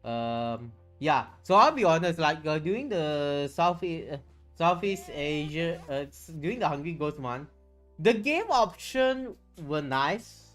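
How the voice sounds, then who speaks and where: Music plays behind a streamer with a Malaysian accent and a medium-pitched voice. The talk is casual and rambling, with phrases repeated and restarted as the thoughts come. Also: lively intonation, takes it slowly, a bit bright. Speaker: livestreamer. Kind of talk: casual livestream chat. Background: background music.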